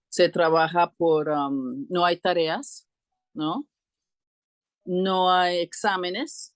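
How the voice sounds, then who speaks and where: A middle-aged woman explaining things conversationally as an interview guest, halting and often clearing her throat. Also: fairly low pitch, no echo, clean studio sound. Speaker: interview guest, co-founder of an alternative school.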